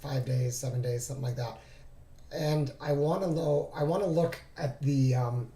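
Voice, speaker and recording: Hoarse, gravelly man explaining charts. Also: mid-range voice, dark tone. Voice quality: raspy. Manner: halting, thinking aloud. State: hoarse, recovering from laryngitis. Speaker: trading instructor reviewing charts. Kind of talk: informal screen-share tutorial. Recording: roomy, echoing voice recording.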